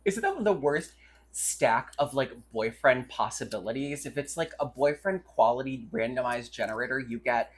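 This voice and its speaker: Very low, husky-voiced woman, blunt podcaster. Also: sing-song, moderate pace. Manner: blunt, irreverent, emphatic repetition. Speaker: podcast co-host. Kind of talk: casual, crude book-review chat.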